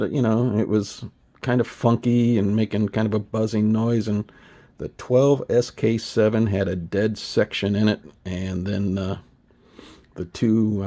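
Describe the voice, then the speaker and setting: A man talking casually and unscripted as he demonstrates his hobby repair work, in an easygoing, halting, rambling way at home. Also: lowish voice, dark tone, breathy edge. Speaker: vintage radio repair hobbyist and video host. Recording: noisy home room recording with ambient sound.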